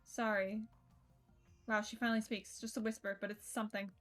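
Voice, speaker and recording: A woman's voice: mid-range voice, flat delivery, a little fast, fairly bright tone. A woman streamer reading a visual novel's narration aloud live. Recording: music under the voice. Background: game music.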